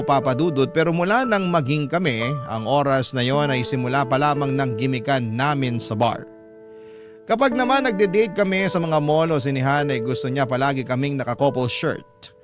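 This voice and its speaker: A man with a Filipino accent reading a scripted first-person letter as a radio storyteller. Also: medium pitch. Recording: music bed behind the narration.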